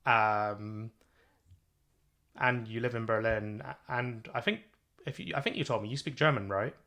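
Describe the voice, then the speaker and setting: A man hosting a podcast, asking curious questions in a relaxed, chatty way with some fillers. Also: medium pitch, normal speed. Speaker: podcast host. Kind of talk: casual interview chat.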